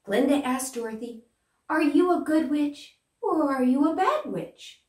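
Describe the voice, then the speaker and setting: A young woman playing a gracious good witch, telling a children's story in an expressive, deliberate way. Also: sits high, voice pushed up. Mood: gentle storytelling. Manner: animated, deliberate, addressing small children. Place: small plain room indoors. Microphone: far camera. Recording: roomy, distant microphone.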